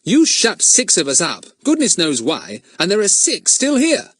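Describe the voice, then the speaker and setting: A man's voice: sits high, wildly swooping pitch, a little slow, fairly bright tone, slightly rough, dry recording, voice pushed up, exaggerated voice. A man playing a harsh, sneering kidnapper. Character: savage kidnapper, male adult, menacing.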